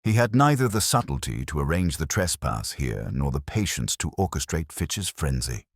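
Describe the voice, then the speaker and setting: A man reads the part of a ponderous genius detective in an audiobook story. The voice is very low and dryly recorded, with a wide, deliberate swing in pitch as he delivers cool, formal judgments. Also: sing-song, no room sound, clean studio sound, full character voice. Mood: grave, murmured. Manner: measured, formal, aphoristic pronouncements. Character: ponderous, brilliant, imperious male detective, coolly judgmental. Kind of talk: audiobook character dialogue.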